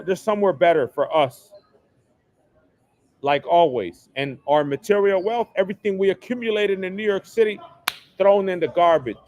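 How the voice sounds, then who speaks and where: A man's voice: fairly high pitch, dry recording. A man hosting a solo livestream, delivering an emphatic, repetitive rant that hammers the same phrases. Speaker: livestream host. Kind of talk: ranting solo livestream monologue. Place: home setup, New York.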